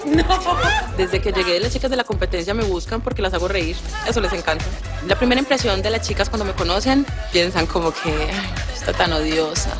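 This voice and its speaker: A woman's voice: mid-range voice, expressive, brisk, loud music behind the voice. A woman in her late twenties from Colombia, auditioning for a pageant show with a brash, joking, self-assured manner and frequent laughs. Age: 20s. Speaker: beauty pageant contestant. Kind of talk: reality show audition and confessional interview. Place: TV studio stage and interview set.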